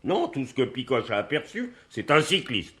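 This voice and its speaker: A man's voice: mid-range voice, sing-song, moderate pace, close to an everyday voice. A man playing a sceptical, stubborn police inspector in a French radio mystery, dismissive and argumentative. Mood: exasperated.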